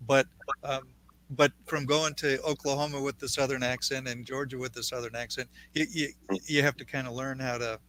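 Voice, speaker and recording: A man's voice: medium pitch, level tone, normal speed, bright, forceful tone, a little hiss or hum, low-quality recording. A man on a live finance panel who explains points emphatically, repeating words as he goes.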